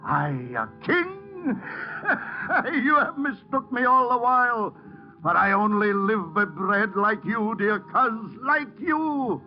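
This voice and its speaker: Slow, broken old Shakespearean tragedian. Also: male voice, high voice, very animated, soft background music, heavily acted. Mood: anguished. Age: older. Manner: declaiming Shakespeare in grand, mournful theatrical style. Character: broken old Shakespearean actor, tragic, lost in dreams. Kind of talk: 1950s radio drama acting. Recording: dull old radio drama recording.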